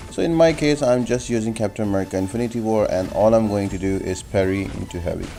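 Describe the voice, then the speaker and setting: A man's voice: lowish voice, mellow. A man giving a casual, step-by-step gameplay tutorial as a voice-over, explaining tactics as he goes. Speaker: video game guide host.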